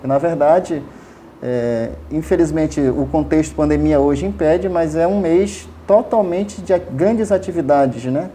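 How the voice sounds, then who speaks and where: Man, mellow voice, hesitant with lots of fillers, faint noise behind. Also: a little high, measured pace. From Amazonas, Brazil. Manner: hesitant, many fillers and restarts, frequent tag questions. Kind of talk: casual explanatory interview answers.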